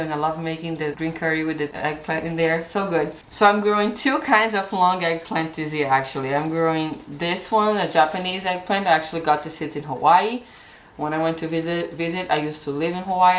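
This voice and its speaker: Brazilian-accented woman in an echoey kitchen. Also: on the low side. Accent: Brazilian Portuguese. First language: Portuguese. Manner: chatty, gestures while holding up seed packets. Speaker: gardening vlogger. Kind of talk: casual chat to camera. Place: indoor kitchen corner at home.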